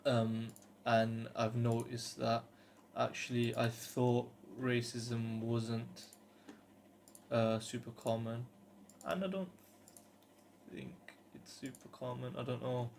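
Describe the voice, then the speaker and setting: A man's voice: mid-range voice, fairly even intonation, slow pace, vocal fry, a little mellow. A British man in his twenties talking casually and earnestly to camera, hesitant and rambling, with faint background noise. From United Kingdom. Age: 20s. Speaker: vlogger.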